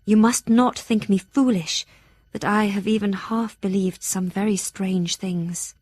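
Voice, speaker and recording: Earnest young Victorian gentlewoman played by an actress. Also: mid-range voice, steady tone, no echo, lightly acted. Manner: formal, heartfelt, measured. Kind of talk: audio drama acting, diary narration.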